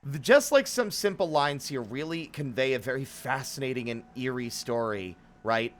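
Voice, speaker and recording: A man's voice: mid-range voice, expressive, moderate pace. Musing male game streamer. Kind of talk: casual live game commentary.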